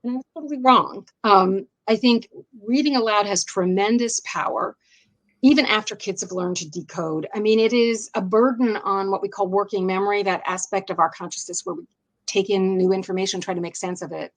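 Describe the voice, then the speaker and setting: A woman's voice: medium pitch, brisk, rough recording. A woman with an American accent talking as an interview guest, explaining things thoughtfully and rephrasing as she goes. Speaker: interview guest, education writer.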